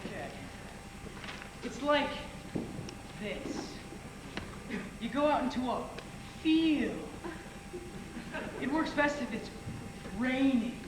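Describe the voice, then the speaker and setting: A teenage male student actor playing a mischievous high school boy on an amateur stage. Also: lively intonation, slow, pauses often, heavily acted. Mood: sly explaining. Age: teens. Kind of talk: amateur stage play acting. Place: theatre stage, indoors. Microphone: far camera. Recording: echoey stage, clearly noisy camera audio.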